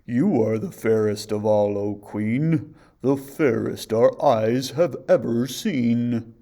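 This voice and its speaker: A man voicing a magic talking mirror that answers in solemn, measured verse. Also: medium pitch, dark tone.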